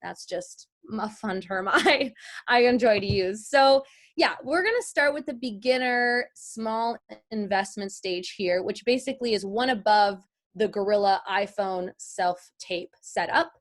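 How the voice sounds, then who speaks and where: A woman's voice: mid-range voice, lively intonation, unhurried. A woman teaching an informal online class as a guest voice-over artist, chatty and explanatory, speaking directly to the group.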